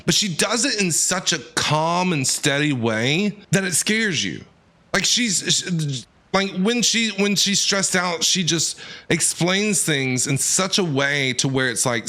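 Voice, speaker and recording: A man reacting casually to a game as a streamer, animated, excitable and jokey. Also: a little high. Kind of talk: casual live gameplay reaction.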